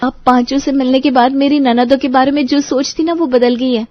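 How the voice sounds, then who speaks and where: A woman's voice: sits high, fairly even intonation, fairly quick, no room sound, lightly acted. A woman playing a polite, earnest young bride-to-be in a family audio drama, with slightly dull sound. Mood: heartfelt. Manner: respectful, earnest. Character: polite, earnest young bride-to-be meeting her sisters-in-law.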